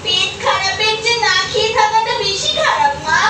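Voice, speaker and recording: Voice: extremely high pitch, normal speed. A performer voicing a determined, protective young boy in a puppet show, speaking in an earnest, pleading way. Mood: reassuring. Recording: very echoey, distant, loud music behind.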